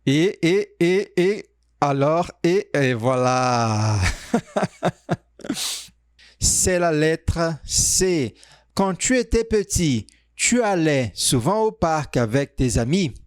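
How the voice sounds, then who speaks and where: A man teaching French, reading quiz sentences and answer options aloud slowly and carefully. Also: fairly high pitch, very animated, no echo, clean studio sound. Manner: reads sentences slowly, stretched out, pauses on options. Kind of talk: slow, clearly spoken quiz reading.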